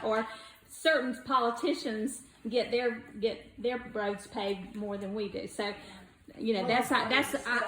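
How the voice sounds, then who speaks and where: Woman, organizer, blunt quick questions, echoey hall. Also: medium pitch. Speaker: meeting organizer. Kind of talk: public meeting Q&A. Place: meeting room. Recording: echoey room, faint noise.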